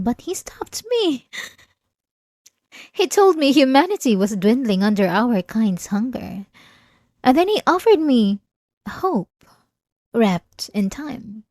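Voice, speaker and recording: A woman playing an ancient, obsessive and lonely female vampire in a theatrical ASMR roleplay, with a teasing, seductive, drawn-out delivery. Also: medium pitch, a little mellow, no echo, studio quality. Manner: dramatic, teasing, drawn-out delivery with breathy asides.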